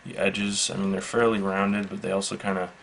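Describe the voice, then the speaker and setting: Low, gravelly man in flat monotone. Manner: matter-of-fact, halting, measured. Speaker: product reviewer. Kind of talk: casual unscripted product demonstration. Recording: faint background noise.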